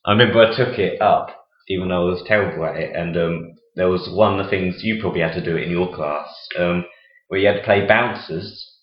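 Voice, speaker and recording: A young man with a very deep, low voice hosts a casual podcast chat. He talks hesitantly and fills his pauses with ums. The sound is echoey and dull, like a lo-fi home recording. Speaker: podcast host.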